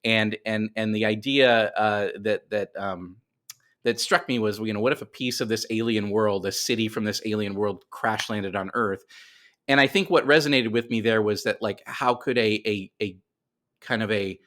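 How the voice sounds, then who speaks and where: A man with a fairly low voice talks casually as an interview guest. He explains creative ideas by thinking aloud, often doubling back to rephrase himself in a halting flow. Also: fairly even intonation, fairly bright tone. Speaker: podcast guest, writer of comics and screenplays.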